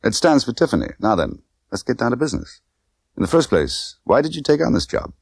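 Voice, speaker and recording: A man's voice: medium pitch, big pitch swings, a little fast, vocal fry, husky, dry recording, clean studio sound, voice pushed up, full character voice. A man voicing a tough, condescending young woman smuggler who talks in clipped, bossy slang. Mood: dry, businesslike.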